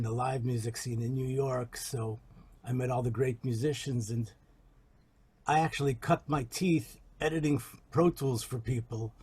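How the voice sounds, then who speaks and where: A record producer in his fifties telling his background from his garage studio in a measured, reflective way. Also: medium pitch, slightly rough. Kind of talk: self-introduction on a call.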